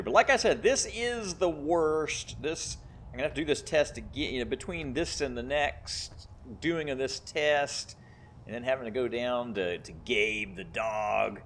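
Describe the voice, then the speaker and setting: A man's voice: mid-range voice, slight background noise. A man giving casual video game commentary, thinking aloud and explaining as he plays. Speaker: gamer playing and commentating.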